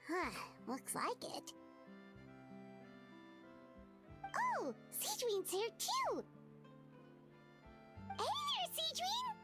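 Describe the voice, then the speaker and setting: Tiny nosy game fairy: childlike squeak, extremely high, wildly swooping delivery, music underneath. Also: female voice, huge pitch swings, takes it slowly, full character voice. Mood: curious, cheerful. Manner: exclamatory, gushing, refers to self in third person. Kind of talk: video game character acting. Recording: music behind the voice.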